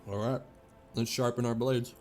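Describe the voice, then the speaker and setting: A man's voice: mid-range voice, flat delivery, normal speed, darkish tone, soft background music. A man streaming a video game with casual, playful and sometimes sweary commentary.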